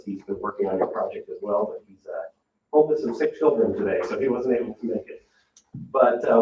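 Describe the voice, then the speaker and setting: A man with a fairly low voice presents plans in a measured, explanatory way. The room echoes around him and he sounds distant from the microphone. His phrasing is a little hesitant, like a professional walking an audience through a project. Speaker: landscape architect presenting to a town meeting. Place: indoor public meeting room. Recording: roomy, distant mic, dull sound with noise.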